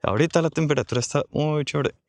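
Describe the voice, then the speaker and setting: A man with a hoarse voice vlogging casually outdoors in a desert park, chatting and thinking aloud to his viewers. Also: a little high, fast, no echo. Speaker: travel vlogger.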